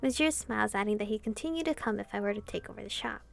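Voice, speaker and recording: A woman's voice: mid-range voice, very fast, creaky, faint music behind. A woman reading a visual novel aloud expressively and commenting casually as she plays. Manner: animated reading, reacting informally to the story.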